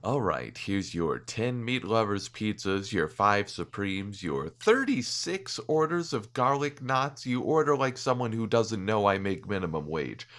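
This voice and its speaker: A man's voice: on the low side, sing-song, a little slow, few pauses, fairly bright tone. A man playing a disgruntled, underpaid pizza delivery driver in an audio roleplay, complaining with open sarcasm. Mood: sarcastic, put-upon.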